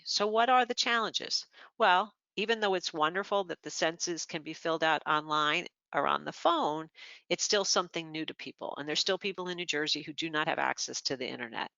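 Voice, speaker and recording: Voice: mid-range voice, vocal fry, pushed and bright, no echo. A webinar presenter walking steadily through slides, explaining each point in turn. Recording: slightly dull lo-fi webinar audio.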